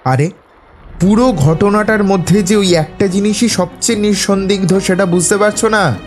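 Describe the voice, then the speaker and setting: A man's voice: sits high, normal speed, natural voice. A man playing a self-assured, analytical detective in an audio drama, explaining things in an assured, instructive way. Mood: confident, probing. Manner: assured, didactic, explaining deductions step by step. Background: prominent background music.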